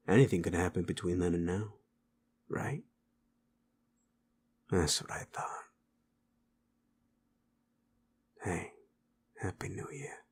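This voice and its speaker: A man playing a streetwise, sleazy male werewolf with a wry, teasing and gently protective manner in an intimate audio roleplay. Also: sits low, a little hiss or hum. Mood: soft, tender.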